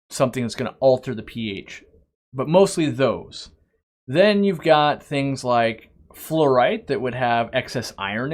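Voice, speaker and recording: A middle-aged man with an ordinary mid-range voice talks off the cuff on a live stream. He wanders from topic to topic and restarts sentences often. His manner is loose and friendly, not scripted. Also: mid-range voice. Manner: rambling and chatty, with frequent restarts and asides; reads viewer questions aloud, then answers them. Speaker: aquarium shop owner hosting a live stream. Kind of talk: casual live-stream Q&A chat.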